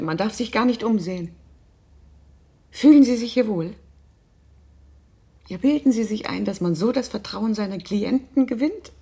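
Demanding society matron, middle-aged, condescending, husky edge, medium pitch, radio play. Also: female voice, darkish tone. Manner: condescending, impatient. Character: haughty, demanding middle-aged upper-class mother. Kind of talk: German radio drama acting.

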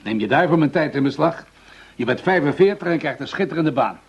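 An actor playing a pragmatic, patronizing senior police commissioner in a radio drama, dismissive and mildly impatient. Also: male voice, on the high side, lively intonation, brisk, slightly rough. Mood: puzzled, patronizing. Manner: dismissive, patronizing, hesitating with "nou". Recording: slightly dull, lo-fi radio recording.

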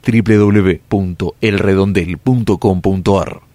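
A man's voice: low-pitched, steady tone, measured pace, warm tone, big-room sound. A man reading scripted idents for a radio show. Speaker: station announcer.

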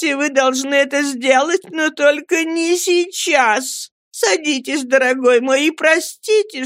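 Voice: high-pitched, a bit bright, no echo, studio quality, voice pushed up. A narrator voicing a tearful, mournful older widow in an audiobook, pleading devotedly as if through sobs. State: crying. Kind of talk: audiobook character acting.

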